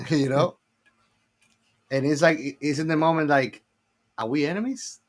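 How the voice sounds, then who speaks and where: A man's voice: on the high side, normal speed. A man co-hosting a casual film discussion in an informal, slangy way, repeating phrases for emphasis. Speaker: podcast co-host.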